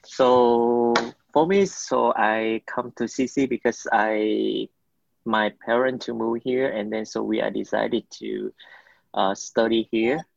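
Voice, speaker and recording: A man's voice: mid-range voice, steady tone, slow, gravelly, no echo, lo-fi sound. A man in his twenties from Vietnam with a Vietnamese accent, giving hesitant interview answers while searching for words. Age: 20s. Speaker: interviewee, college student.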